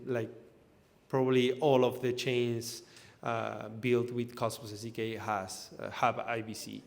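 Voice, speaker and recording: A man from Argentina giving a technical conference talk as an engineer, explaining loosely with lots of fillers and restarts. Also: mid-range voice. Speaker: conference speaker, blockchain engineer. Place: event hall in front of an audience. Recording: echoey hall.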